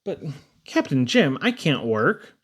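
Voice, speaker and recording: A man voicing a meek sailor in a storybook poem, pleading slowly and hesitantly with his captain. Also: a little high, very animated, a bit bright, breathy edge, full character voice. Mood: helpless.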